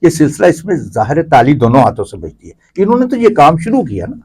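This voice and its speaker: A man's voice: on the high side, huge pitch swings, warm tone, husky. A man with a Pakistani accent explaining things conversationally as an interview guest, piling up examples and repetitions.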